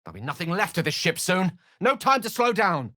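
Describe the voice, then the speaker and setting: A man's voice: sits high, big pitch swings, brisk, sharp tone, no echo, clean studio sound, exaggerated voice. An actor voicing a learned, witty, self-assured male wizard in a video game, with a wry, theatrical and bookish way of speaking. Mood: urgent, wary. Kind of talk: video game character voice acting.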